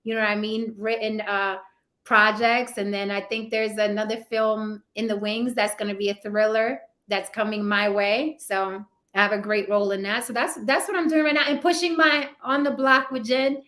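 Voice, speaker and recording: Bright, animated woman guest. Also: medium pitch, moderate pace. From Puerto Rican heritage. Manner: animated, repeats words for emphasis, says "right?" often. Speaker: actress and YouTuber guest. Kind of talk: casual interview chat.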